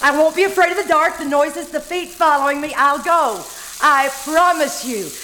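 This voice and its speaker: A woman playing a frantic, pleading and menacing old woman in a radio suspense play, with breathless, halting delivery. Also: sits high, bright, forceful tone. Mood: insistent pleading. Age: older. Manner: breathless moaning, halting repetitions, melodramatic pleading. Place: live performance at a winery. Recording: echoey, noisy live stage recording.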